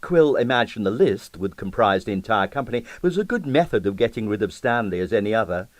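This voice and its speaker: A man's voice: lowish voice, big pitch swings, fast talker, no room sound. A man reading a comic mystery story as a scripted, dramatic audiobook narration.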